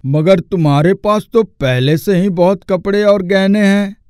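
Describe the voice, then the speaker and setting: A man voicing a kindly middle-aged company boss and indulgent father in a cartoon story, in a fatherly, measured way. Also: high-pitched, darkish tone, clean tone, no echo, studio quality. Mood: calm. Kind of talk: Hindi cartoon story acting.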